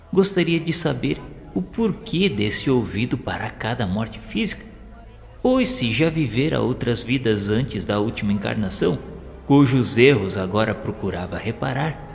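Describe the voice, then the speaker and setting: A Brazilian man narrates an audio novel in a low register. His reading is formal and measured. The voice rings with long hall reverb, and music plays behind it. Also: gravelly.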